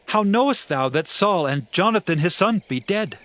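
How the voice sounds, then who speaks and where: A man's voice: sits high, expressive, moderate pace, a bit bright. An actor playing King David, a grieving warrior-king, speaking in a solemn, lamenting way in a dramatized scripture reading. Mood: stern. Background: faint background music.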